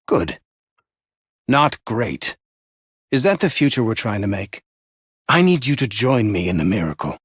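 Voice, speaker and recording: Manipulative exacting mentor, husky, very animated intonation, dry close sound, theatrical villain delivery. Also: male voice, on the low side, measured pace, pauses often, dry recording, exaggerated voice. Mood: disappointed, condescending. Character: intense, demanding male mentor, manipulative and passive-aggressive.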